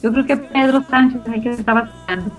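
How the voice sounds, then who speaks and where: A woman's voice: a little high, quick pace. A woman speaking as an interview guest, chatty and enthusiastic in a conversational style. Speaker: interview guest, radio drama collaborator. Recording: slightly dull audio over music.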